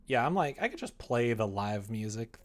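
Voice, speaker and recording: A young adult man chatting casually on a livestream as he works, muttering to himself and then growing animated. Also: medium pitch, normal speed. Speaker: livestreamer developing a visual novel. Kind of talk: casual livestream chat while working.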